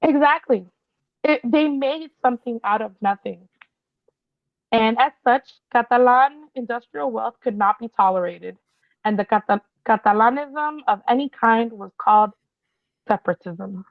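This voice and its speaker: Gravelly-voiced woman on a dull, dry mic. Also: on the high side, no echo. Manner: explains history as a run-through, with quick agreeing replies. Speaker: podcast co-host. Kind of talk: casual chat.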